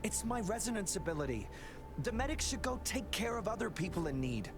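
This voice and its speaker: A breathless, stubborn wounded soldier. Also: female voice, medium pitch, sing-song. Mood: stubborn, defiant. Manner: halting, protesting. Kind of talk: video game voice acting. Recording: background music over game dialogue.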